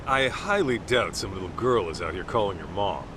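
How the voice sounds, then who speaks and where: A man playing an easygoing, gruff middle-aged private detective in a video game, talking in a casual way. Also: low-pitched, lively intonation, normal speed, background noise. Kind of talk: video game cutscene acting.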